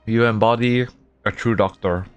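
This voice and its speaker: A man's voice: on the low side, steady tone, moderate pace, smooth, no room sound, faint music behind. A man streaming a game with casual, reactive, rambling commentary. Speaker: gaming streamer.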